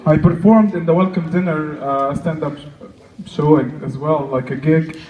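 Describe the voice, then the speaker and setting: A young man doing conversational stand-up comedy, halting and self-deprecating, acting out short exchanges. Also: fairly high pitch, steady tone, distant mic. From Egypt. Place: live stand-up show in an echoey room. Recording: dull, echoey live room recording.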